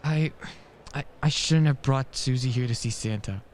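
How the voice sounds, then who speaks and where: An actor playing a mild, well-meaning gentleman in a radio play, with a hesitant, apologetic manner. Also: medium pitch, normal speed, a little hiss or hum, natural voice.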